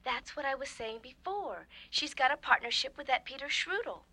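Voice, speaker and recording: An unimpressed young-sounding woman in a radio comedy. Her voice is high and sharp-edged, with a doubting, matter-of-fact delivery. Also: animated, moderate pace, full character voice. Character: skeptical, unimpressed female friend.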